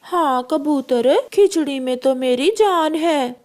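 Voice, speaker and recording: Woman as a female cartoon bird of prey, high, bossy, emphatic, unhurried. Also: a little mellow. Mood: pleased. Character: bossy, food-loving female kite bird, elder sister. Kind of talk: animated children's moral story voice acting.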